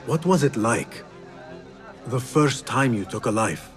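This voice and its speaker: Expressive, earnest young assassin, played by a man. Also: mid-range voice, measured pace, soft background music, lightly acted. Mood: curious. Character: earnest young male assassin, curious, gentle, loyal. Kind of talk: video game character acting.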